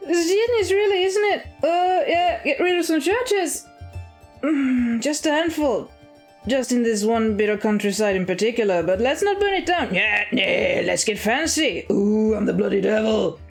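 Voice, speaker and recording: Over plucked guitar music, a woman plays a slouchy male demon in an audio story. He is irritable and sarcastic, grumbling and swearing in a loose, swinging drawl, pitched up and pushed out with force. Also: expressive, moderate pace, gravelly. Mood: drunken rambling.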